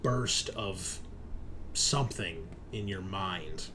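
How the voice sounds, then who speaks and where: A man narrating a horror tabletop roleplaying game as game master, dramatic and deliberate in his delivery. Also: low-pitched, noisy background.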